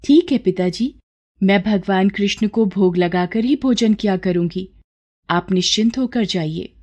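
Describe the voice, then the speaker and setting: A woman's voice: mid-range voice, a little slow, a little mellow, lo-fi sound, lightly acted. A woman playing an innocent, stubborn, devout young village girl in a devotional story, earnest and simple-hearted. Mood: dutiful. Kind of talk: Hindi devotional story acting.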